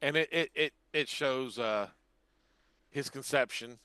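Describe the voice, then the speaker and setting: A man's voice: mid-range voice, measured pace, sharp tone, clean tone, dry recording. An older man co-hosting a podcast, chatting and joking casually about films. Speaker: podcast co-host. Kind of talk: casual, joking group chat.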